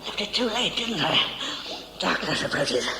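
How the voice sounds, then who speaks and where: A woman's voice: mid-range voice, animated, a little slow. A woman playing a brooding, self-reproaching figure in a sci-fi audio drama. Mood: bitter regret. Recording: echoey, clearly noisy background.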